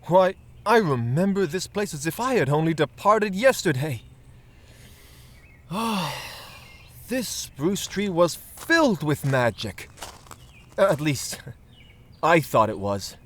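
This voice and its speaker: A gentle, wistful husband in an audio drama. He savors his words slowly, with a lively, swooping melody in a fairly high male voice. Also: heavily acted. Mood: wistful, fond. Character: grown man nostalgic for childhood, gentle and wistful.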